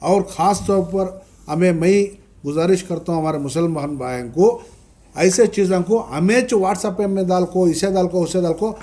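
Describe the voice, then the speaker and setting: A man with a South Indian Dakhni Urdu accent giving a press statement as a spokesman, explaining haltingly and repeating himself with English terms mixed in. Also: high-pitched, warm tone. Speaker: party minority-wing official, spokesman. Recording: noisy press meet recording.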